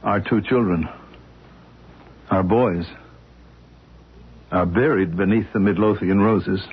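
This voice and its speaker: An actor playing a gentle grieving husband who speaks in a quiet, halting way, in a 1970s radio drama on a dull, muffled old recording. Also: male voice, deep voice, noisy background.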